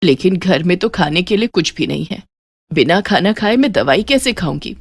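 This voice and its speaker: A woman's voice: on the low side, animated, moderate pace, slightly rough, no echo. A woman voicing a frail, despairing sick wife in a moral story, plaintive and weak. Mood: worried.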